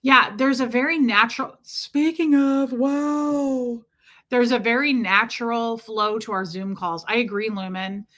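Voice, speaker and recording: A woman hosting a casual livestream, chatting warmly and greeting viewers by name as she answers their comments. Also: on the high side, measured pace. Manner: chatty and warm toward viewers, many fillers. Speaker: livestream host.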